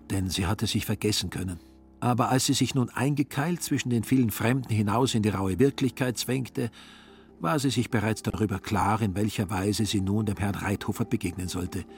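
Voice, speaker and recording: A man reading literary radio narration in a measured storytelling manner. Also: on the low side, a little mellow. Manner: measured storytelling in reported speech.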